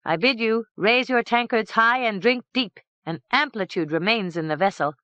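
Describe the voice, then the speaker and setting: A fastidious old knight states his wishes slowly and particularly, as a woman reader puts him on. His voice rises and falls in a lively way. The recording is dull and dry. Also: fairly high pitch, smooth, no echo, pitched above the performer's own voice. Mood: fussy. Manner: fussy, slow, particular.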